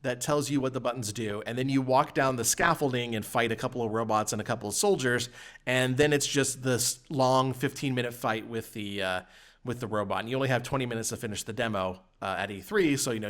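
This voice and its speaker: A man hosting a gaming podcast, talking casually in halting, rambling explanations. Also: medium pitch, a bit bright. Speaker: podcast host.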